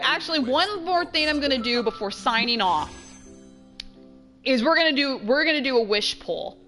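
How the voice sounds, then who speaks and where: A woman's voice: high voice, sharp tone. A woman game streamer giving casual let's play commentary as she plays.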